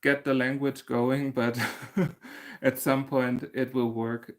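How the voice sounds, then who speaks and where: A man's voice: mid-range voice, little pitch movement, slow. A man, hosting a casual livestream and chatting through viewer comments, laughing often and restarting his sentences. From Germany. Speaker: crochet channel host.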